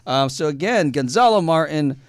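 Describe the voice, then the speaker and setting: A man hosting an internet radio interview, chatty and joking, with many halting fillers. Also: fairly high pitch, lively intonation, no echo, studio quality. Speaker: podcast host. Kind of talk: casual interview chat.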